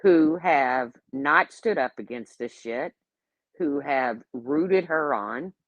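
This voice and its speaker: A woman's voice: a bass voice, lively intonation, takes it slowly, no echo, muffled recording. A woman in her late fifties hosting a casual livestream, talking bluntly and sarcastically as she rambles and answers her chat. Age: 50s. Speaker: YouTube livestream host.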